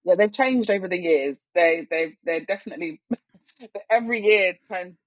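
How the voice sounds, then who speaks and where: A woman's voice: lowish voice, animated, moderate pace, no room sound, rough recording. A young woman with a West London accent, a guest chatting expressively and laughing often. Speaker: guest, workplace culture consultant. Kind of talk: casual interview chat.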